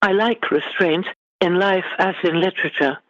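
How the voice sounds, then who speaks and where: A middle-aged British woman, an author giving measured, formally phrased answers in a literary interview. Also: fairly low pitch, a bit bright, no echo, dull audio. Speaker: guest author.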